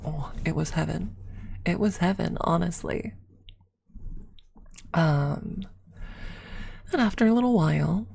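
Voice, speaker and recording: A woman streamer telling a story in a soft, breathy ASMR style, speaking haltingly and often slipping into a whisper close to the microphone. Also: fairly low pitch, expressive. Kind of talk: soft-spoken ASMR storytelling to a live chat.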